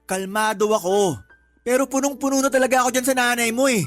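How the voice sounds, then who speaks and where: A man's voice: very high-pitched, fairly even intonation, fast talker, soft background music. A man acting the part of an irritable, sarcastic live-in husband in a radio drama. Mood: indignant, sarcastic. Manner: sarcastic, argumentative. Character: hot-tempered, aggrieved live-in husband ranting about his mother-in-law.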